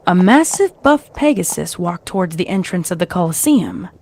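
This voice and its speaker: A woman's voice: fairly low pitch, very animated, normal speed, a little mellow, slight background noise. A woman reading a scripted story as its narrator, in a dramatic storytelling style. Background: faint music.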